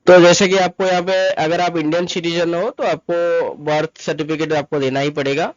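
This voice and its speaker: A man presents an informal tutorial in a somewhat high-pitched voice. He instructs step by step, repeating himself and dropping in English terms. The sound is very dry and a bit dull and lo-fi. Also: level tone, moderate pace, no room sound. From Mumbai, India. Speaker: tutorial presenter, travel agent. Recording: dull lo-fi close dry recording. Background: voice-over to screen recording.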